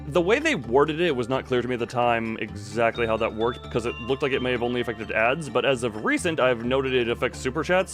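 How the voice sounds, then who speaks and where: A man giving casual livestream commentary on a game, rambling and breaking off his own sentences with wry asides. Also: medium pitch. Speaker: video game streamer.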